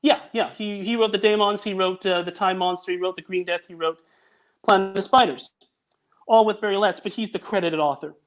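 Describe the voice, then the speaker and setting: High-voiced man recounting details. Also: fairly even intonation, fairly quick. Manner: detailed, fact-heavy storytelling with frequent restarts. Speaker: guest, audio drama producer. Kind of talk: casual chat.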